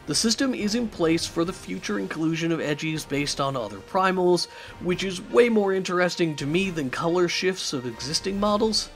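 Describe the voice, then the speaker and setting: Man with a raspy, strained voice. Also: on the high side, fairly bright tone. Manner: thoughtful, opinionated, many fillers. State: hoarse from a cough. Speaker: YouTube channel host. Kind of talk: news recap commentary.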